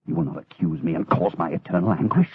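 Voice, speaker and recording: A man's voice: deep voice, fast, breathy edge. A man playing a villainous, guilt-ridden monk in a gothic audio drama, commanding and anguished in a melodramatic way. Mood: menacing, tormented. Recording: tinny band-limited old radio drama.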